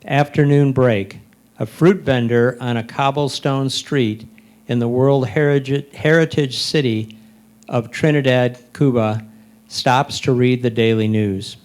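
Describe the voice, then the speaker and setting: A man reading scripted, descriptive photo captions aloud, deliberately, phrase by phrase. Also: medium pitch, a little mellow. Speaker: reader of photo captions at a photo club presentation.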